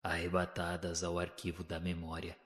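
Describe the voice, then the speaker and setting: A Brazilian man narrates a spiritual audio novel in a solemn, formal, measured storytelling manner. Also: sits low, completely flat delivery, fairly bright tone. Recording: long hall-like reverb.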